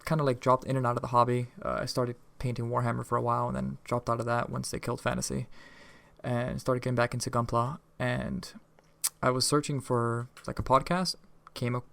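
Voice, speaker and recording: Male co-host, mid pitch with plenty of vocal fry, casual hobby chat. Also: flat delivery, brisk, a bit bright, dry recording. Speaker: podcast co-host.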